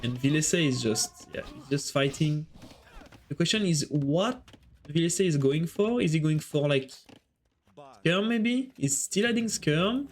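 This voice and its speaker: A man's voice: a little high, animated, a little slow, a little hiss or hum. A man with a French accent casting a strategy game on a live stream, chatting with viewers between plays. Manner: chatty, answers chat while casting.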